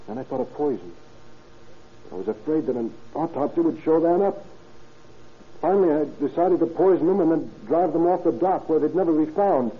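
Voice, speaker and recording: An actor playing a young murderer confessing to police, matter-of-fact and self-justifying, in a 1930s radio drama style. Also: male voice, on the high side, animated, moderate pace, lightly acted. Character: young male murderer calmly rationalizing his confession to police. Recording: tinny, noisy 1930s radio recording.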